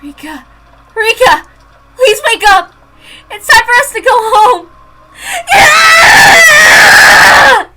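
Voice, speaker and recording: A woman's voice: very high-pitched, lively intonation, normal speed, few pauses, pitched above the performer's own voice, low-quality recording. A woman voicing a grief-stricken teenage girl who shrieks and sobs in a murderous rage. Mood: desperate. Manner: shrieking and sobbing.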